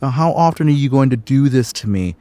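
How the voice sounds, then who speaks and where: A man's voice: mid-range voice, lively intonation, fairly quick, dark tone, no echo, natural voice. A man acting a hurt, accusatory fiancé leaving his partner in an audio drama. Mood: bitter, accusing. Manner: wounded, accusatory.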